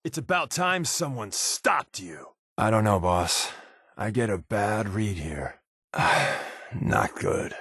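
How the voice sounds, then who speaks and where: Wisecracking American sidekick, swinging, expressive delivery. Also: male voice, on the low side, slow pace, breathy edge, no room sound, clean studio sound, heavily acted. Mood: wary, quippy. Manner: sardonic, casual, quippy. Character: wisecracking, sly spy sidekick man, wry and irreverent. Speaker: video game companion character. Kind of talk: video game voice lines, conversational banter.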